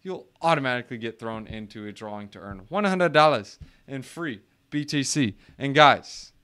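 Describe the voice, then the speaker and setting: A man's voice: medium pitch, animated, normal speed, bright, forceful tone, clear, resonant tone. A man casually walking viewers through a screen share, joking and chatty, calling them guys. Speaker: crypto YouTuber.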